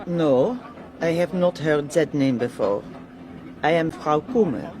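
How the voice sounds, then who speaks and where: An actress playing a cool, stiffly polite foreign woman in a radio drama. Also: slow. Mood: cool denial. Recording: clear train rumble behind.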